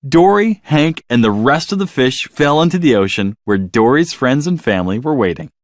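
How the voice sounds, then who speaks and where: A man reading a scripted children's story as narrator, in an expressive, dramatic storytelling manner. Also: medium pitch, normal speed, no echo, clean studio sound.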